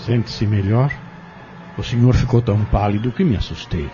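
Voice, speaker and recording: A man acting a composed, persuasive, scheming trader in an audio drama, speaking formally and politely with measured phrasing. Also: on the low side, close to an everyday voice. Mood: reassuring, persuasive. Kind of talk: dramatic radio-novela acting. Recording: muffled lo-fi audio drama recording. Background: prominent background music.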